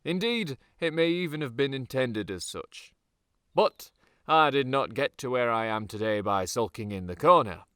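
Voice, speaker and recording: A man's voice: mid-range voice, expressive, moderate pace, fairly bright tone, smooth, no echo, clean studio sound, pitched above the performer's own voice, natural voice. A man voicing a vain, pompous young military officer addressing his troops in an audiobook. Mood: rousing, haughty. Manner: pompous, commanding, oratorical. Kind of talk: audiobook character dialogue.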